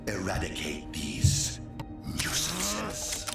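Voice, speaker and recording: An actor voicing a cold, commanding alien supreme intelligence in a video game cutscene. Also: male voice, lowish voice, huge pitch swings, creaky, heavily acted. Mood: imperious. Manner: slow commanding decrees. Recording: echoey, distant, over loud music.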